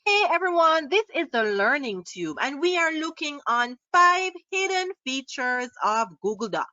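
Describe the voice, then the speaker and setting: A woman with a Jamaican accent leads a live online tutorial, upbeat and full of questions for her viewers. Her voice is bright and on the high side. It comes through a laptop's built-in mic, close and a little muffled. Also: big pitch swings, measured pace, no room sound. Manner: upbeat, asks the audience questions. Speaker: online workshop presenter. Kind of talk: live screen-share tutorial.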